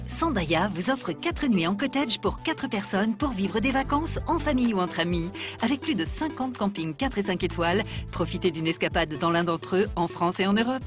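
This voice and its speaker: Female announcer, smooth promotional read, music bed underneath. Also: medium pitch, animated, normal speed. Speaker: sponsor announcer. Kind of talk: scripted ad voice-over. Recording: voice-over over background music.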